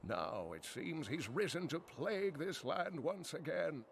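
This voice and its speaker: An actor playing an elderly, kindly and learned uncle in a fantasy video game, speaking expressively with grave foreboding. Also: male voice, a little high, gravelly, slight background noise. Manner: slow, expressive, foreboding storytelling.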